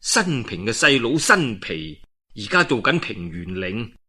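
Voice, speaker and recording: 男人扮演沉穩精明嘅粵語軍師，聲線壓低. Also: male voice, lowish voice, very animated, pushed and bright, slightly rough, pitched below the performer's own voice, heavily acted. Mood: calm scheming. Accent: Guangdong Cantonese. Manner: measured, reasoning, persuasive. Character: shrewd, calm male military strategist advising his lord.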